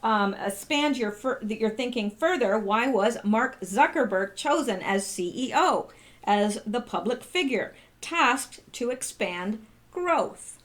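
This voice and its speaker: A woman hosting her channel, reading out posts and commenting in a casual, halting way full of rhetorical questions. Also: on the high side, lively intonation. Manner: conversational, many fillers and restarts, rhetorical questions. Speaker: channel host.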